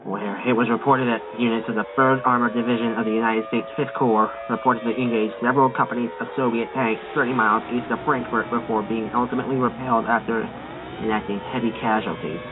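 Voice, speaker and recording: A man's voice: medium pitch, fairly even intonation, fast, phone-quality sound, music in the background, natural voice. A man playing a war correspondent filing a news field report in a radio drama. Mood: sober, uneasy.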